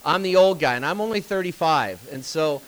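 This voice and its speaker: A man's voice: a little high, animated, normal speed. A man, an assistant pastor telling a folksy story in a talk to church staff. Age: 50s. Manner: folksy storytelling with fillers and restarts. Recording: faint hiss.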